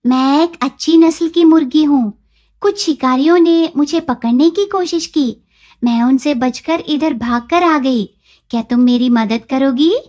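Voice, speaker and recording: A woman's voice: sits high, a little slow, darkish tone. A woman voicing a humble, pleading giant wounded magical hen in a children's moral story. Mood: pleading, polite.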